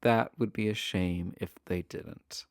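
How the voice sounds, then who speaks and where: A man's voice: deep voice, slow, vocal fry, no echo, clean studio sound, full character voice. A man playing a charming, sly villain prisoner in an intimate roleplay audio, talking in a coy, flirtatious, casual way. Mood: sly, playful.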